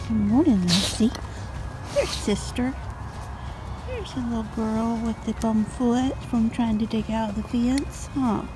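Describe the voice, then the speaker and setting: A woman's voice: expressive, takes it slowly, background noise. An older woman talking to her dogs and chickens while doing morning chores in the backyard, filming on a handheld phone. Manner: talks sweetly to dogs and chickens in a high sing-song. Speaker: vlogger. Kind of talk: casual narration while doing farm chores. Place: backyard and shed at night, outdoors. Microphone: handheld phone camera.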